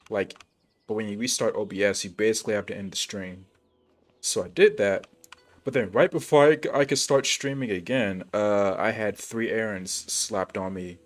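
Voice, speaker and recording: A man live streaming a game, chatting casually in a rambling, slangy and hesitant way. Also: on the low side. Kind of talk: casual gaming livestream chat.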